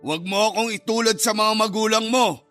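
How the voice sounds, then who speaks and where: A male actor plays an irritated, cold-hearted Filipino dad in a radio drama. His voice sits very high and cuts brightly over music. He is curt, scornful and quick to confront. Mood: angry, scornful. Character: hostile, cold father of a grown son, irritated.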